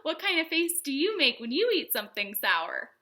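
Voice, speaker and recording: A woman hosting a storytime for young children, teaching in simple words with an animated, sing-song manner and questions to her small viewers. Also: high voice, normal speed. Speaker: children's librarian, storytime host.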